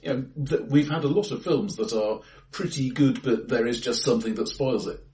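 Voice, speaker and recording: A man co-hosting a casual film discussion, talking in a measured, analytical and somewhat hesitant way. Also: medium pitch, noisy background. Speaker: podcast host. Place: indoors, reverberant room, face to face. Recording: echoey bright room, distant mic.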